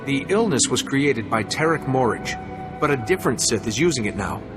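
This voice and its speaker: A man playing a dutiful, selfless young Jedi hero in a video game, calm, serious and formal. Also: medium pitch, a little fast, over music, natural voice. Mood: resolute.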